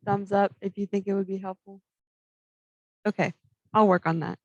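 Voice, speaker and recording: Brisk upbeat woman chairing a meeting. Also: mid-range voice, little pitch movement, no room sound, muffled recording. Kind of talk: running a meeting. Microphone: video call.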